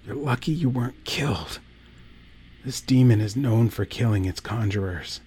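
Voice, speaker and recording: A man voicing a bitter, scornful middle-aged priest who speaks slowly in a hushed, menacing tone. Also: medium pitch, breathy edge. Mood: hushed grim. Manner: hushed, slow and menacing, rising to a snarl.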